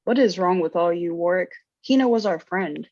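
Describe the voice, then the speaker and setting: A woman's voice: on the low side, normal speed, a little mellow, no echo, muffled recording. A woman playing a loyal, insistent young woman in a comic-book table read. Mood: indignant.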